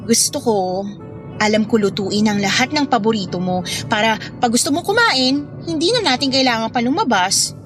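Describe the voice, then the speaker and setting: Actress as high-voiced affectionate young woman in love. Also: animated, normal speed, close to an everyday voice. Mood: teasing, caring. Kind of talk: Filipino radio drama acting. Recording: music bed under dialogue.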